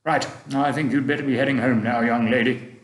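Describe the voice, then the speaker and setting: A man's voice: medium pitch, steady tone, brisk, reverberant, natural voice. A man voicing a kindly, genteel old male tramp, polite and old-fashioned. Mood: fussy, genteel.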